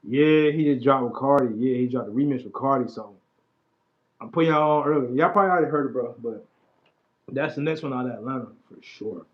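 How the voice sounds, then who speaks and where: A man's voice: medium pitch, a little fast. Laid-back mumbling man in his twenties. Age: 20s. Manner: laid-back slangy talk, mumbling asides. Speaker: livestream host. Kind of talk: casual livestream chat about music. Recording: dull lo-fi stream audio.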